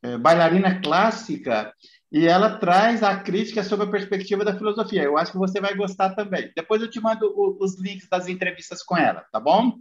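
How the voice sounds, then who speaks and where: Male podcast host, chatty and wandering, high voice, echoey lo-fi room. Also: lively intonation, brisk. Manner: chatty, meandering. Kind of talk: casual interview chat. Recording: roomy, dull lo-fi sound.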